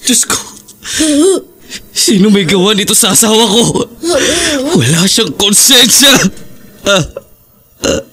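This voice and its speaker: A man's voice: sits high, animated, unhurried, pauses often, sharp tone, exaggerated voice. Man playing a grieving Filipino dad in horror audio drama, anguished and urgent, voice rising and falling sharply. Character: distraught grieving husband and father.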